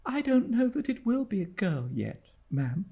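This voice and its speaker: A man's voice: sits high, wildly swooping pitch, moderate pace, husky, muffled recording, voice pushed up, full character voice. A man voicing a timid, fragile young widowed mother in a storybook reading, with a faltering, plaintive and helpless manner.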